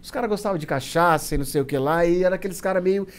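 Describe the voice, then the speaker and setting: A man's voice: high voice, rapid-fire. A Brazilian man telling personal stories as an interview guest, in a colloquial, casual way with lots of quoted dialogue. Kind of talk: casual anecdotal storytelling.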